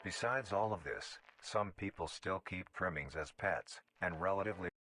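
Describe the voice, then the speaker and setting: A man reading a scripted nature documentary narration in a measured, formal way. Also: low voice, sharp tone, no echo. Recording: music under the voice. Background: background music.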